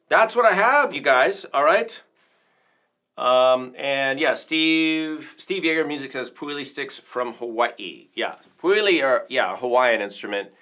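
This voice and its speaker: A man hosts an informal livestream in a bright, crisp-edged voice at an average male pitch. He talks like a percussion teacher showing off favorite gear, rambling along casually with clear enthusiasm.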